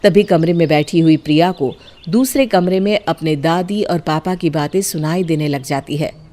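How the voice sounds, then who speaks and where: A woman's voice: deep voice, moderate pace, warm tone. A woman narrator reading a scripted story. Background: music.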